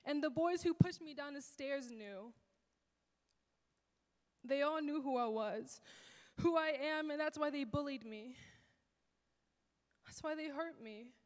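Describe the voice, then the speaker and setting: A woman's voice: sits high, a little slow, long pauses, long reverb tail. A teenage girl reciting a spoken word piece in an earnest, rhythmic way on a church stage into a handheld mic. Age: teens. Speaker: teen performer. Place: church stage, large hall.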